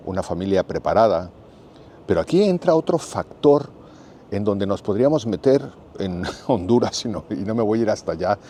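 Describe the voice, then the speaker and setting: Mellow-voiced Mexican man in his 60s. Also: fairly low pitch, a little hiss or hum. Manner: unhurried, thoughtful, plain-spoken and explanatory. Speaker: vlogger. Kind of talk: reflective casual monologue.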